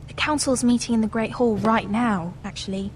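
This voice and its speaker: A woman's voice: a little high, steady tone, normal speed, slight background noise, lightly acted. A woman playing an anxious, devoted teenage noble sister in a dramatic video game, speaking with earnest emotion. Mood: urgent, hesitant.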